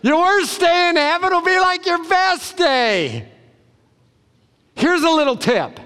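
A man preaching a sermon as a pastor, emphatic, with deliberate pauses and repeated short phrases, in a large hall. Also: very high voice, sing-song, pushed and bright.

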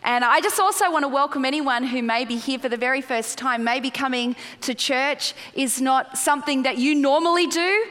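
A woman's voice: high-pitched, normal speed, hardly any pauses, fairly bright tone, big-room sound. An Australian woman in her forties, a guest pastor giving a chatty, playful sermon and prayer and asking the crowd questions. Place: large church auditorium.

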